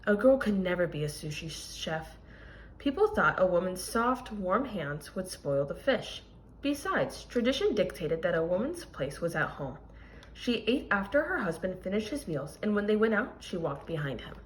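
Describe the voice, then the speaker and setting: A woman reading a children's picture book aloud like a teacher, expressive and deliberate, stressing key words and adding explanatory asides. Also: mid-range voice, a little hiss or hum.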